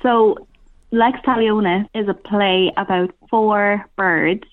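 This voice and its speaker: A woman's voice: mid-range voice, fairly even intonation, slow. A woman, a theatre director, talking conversationally as an interview guest over a phone-like line. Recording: narrow, thin phone-like line.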